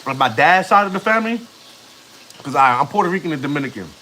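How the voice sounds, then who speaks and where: A man's voice: a little high, lively intonation, fast, pushed and bright, slight background noise. Slangy man in his thirties. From United States. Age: 30s. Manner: loose street slang, rambling and chatty. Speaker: family vlogger. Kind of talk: casual live-stream chat.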